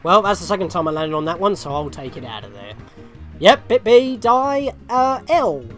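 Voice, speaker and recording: A man's voice: high voice, sing-song, normal speed, a bit bright, faint music behind. A man hosting a gaming video with casual, rambling let's play commentary and chatty asides.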